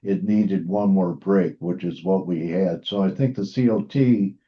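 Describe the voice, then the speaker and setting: A man's voice: deep voice, measured pace, breathy edge, dull audio. A man hosting a trading interview, casually discussing markets with his guest.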